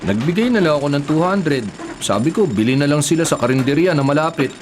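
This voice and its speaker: A man's voice: fairly high pitch, animated, fast talker, natural voice. A man playing a patient, put-upon younger brother in a Filipino radio drama, with a Filipino accent and a mild, respectful, grumbling way of talking. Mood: wry. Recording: noisy bed of construction and rain effects.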